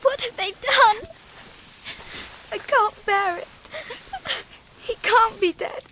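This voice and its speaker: A woman playing a grief-stricken young girl in an audio drama, crying as she pleads in halting phrases. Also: extremely high pitch, pushed and bright, slight background noise, exaggerated voice. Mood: anguished grief.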